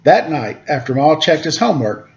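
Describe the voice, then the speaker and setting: A man's voice: on the high side, big pitch swings, normal speed, gravelly, muffled recording. A man reading a storybook aloud like a teacher, with casual asides.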